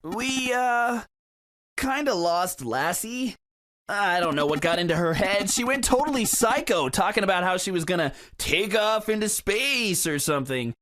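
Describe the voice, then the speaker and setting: A woman voicing a brash, excitable young student adventurer in a game fan dub. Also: medium pitch, measured pace, no echo. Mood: sheepish, exasperated.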